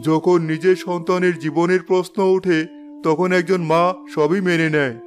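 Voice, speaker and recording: Guy doing a fairy tale king, pretty high voice, formal and stately, music behind. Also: level tone, moderate pace, natural voice. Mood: earnest. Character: anxious, commanding, remorseful fairy tale king. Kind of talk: cartoon fairy tale voice acting. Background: background music.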